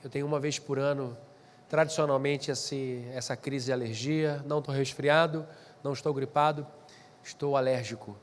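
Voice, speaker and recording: A man's voice: medium pitch, fairly even intonation, moderate pace, a little hiss or hum. A man with a stuffy, nasal voice from allergies gives a conversational lecture to a live group, often restarting and adding asides. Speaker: speaker at a pastors' training session. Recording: long hall reverb.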